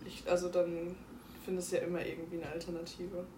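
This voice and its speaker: A woman's voice: lowish voice, monotone, quick pace, dark tone. A young woman in casual vlog chat, teasing her friend and often breaking into laughter. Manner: teasing, giggly. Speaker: vlog co-host. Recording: echoey room with faint hiss.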